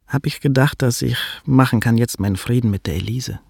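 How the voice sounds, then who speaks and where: An actor playing an anguished butcher's son who fears his father, in a radio drama, speaking haltingly with inverted word order and a gravelly, husky voice. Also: medium pitch, darkish tone, no room sound, clean studio sound. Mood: subdued recollection. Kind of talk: radio drama, confessional narration.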